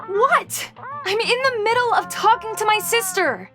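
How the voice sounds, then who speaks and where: A high-strung woman with a very high voice. She is intense, argumentative and exacting, a perfectionist in an audio dramedy. Light music sits behind her. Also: unhurried. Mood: angry, indignant. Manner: intense, demanding, indignant.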